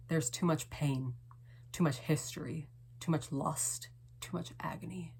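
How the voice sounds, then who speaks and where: Female poet, low register, unhurried dramatic delivery with hushed, lingering phrasing. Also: creaky, husky. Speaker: poet reading own poem. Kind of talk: slow dramatic poetry reading.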